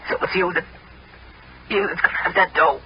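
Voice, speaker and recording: A man acts out a desperate dying convict in a 1960s radio play. His high voice comes in short, breathless, stammering bursts. The old recording is muffled, with clear noise. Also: fairly even intonation, close to an everyday voice. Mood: urgent pleading. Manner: halting, gasping, stammering. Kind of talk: 1960s radio drama acting.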